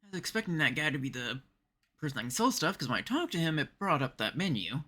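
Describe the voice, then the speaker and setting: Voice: medium pitch, sing-song, fast talker, pushed and bright. A streamer from Canada giving casual live video game commentary, chatting and thinking aloud in short remarks.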